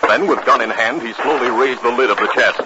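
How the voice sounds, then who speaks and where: A man's voice: medium pitch, brisk. An American man announcing and narrating in a polished, dramatic 1950s radio style. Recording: very noisy, muffled 1950s radio recording.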